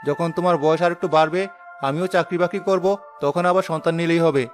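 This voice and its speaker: A man's voice: fairly high pitch, steady tone, fast, natural voice. A man voicing a callous young husband in a cartoon, curt and stern. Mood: dismissive. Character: callous young husband, obedient to his mother. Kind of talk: cartoon voice acting. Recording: music behind the voice.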